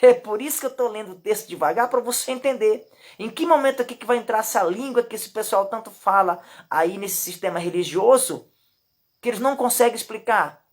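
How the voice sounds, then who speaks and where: A Brazilian woman with a Brazilian Portuguese accent, teaching from the Bible. She reads the verses slowly, repeats her points emphatically and speaks straight to the listener. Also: fairly high pitch. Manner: emphatic and repetitive, addresses the listener directly. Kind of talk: Bible teaching with slow scripture reading.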